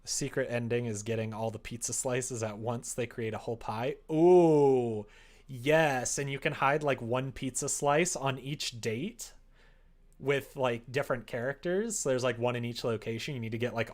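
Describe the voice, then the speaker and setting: Casual livestream chat, medium pitch, informal phrasing, drifting between conversation and murmured drafting. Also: unhurried. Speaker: streamer writing a visual novel.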